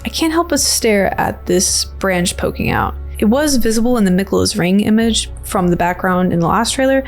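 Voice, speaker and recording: A woman's voice: medium pitch, lively intonation, normal speed, few pauses, faint music behind. A woman giving casual, rambling commentary on a video game trailer in a playful, slangy, informal way. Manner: informal, playful, slangy filler-heavy speech. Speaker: video creator and host.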